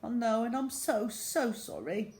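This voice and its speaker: Woman doing a tough little schoolgirl from a kids book, blunt n gushy, raised loud voice. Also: a little high, sing-song, measured pace, a little hiss or hum, pitched above the performer's own voice. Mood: tearful, sorry. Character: tough schoolgirl bully turned tearful, eager new friend.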